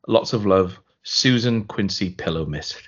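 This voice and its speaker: A man co-hosting a podcast, chatting casually and reading out a listener email with a dry, deadpan delivery. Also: low voice, a little slow, husky. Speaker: podcast co-host. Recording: dull lo-fi podcast audio.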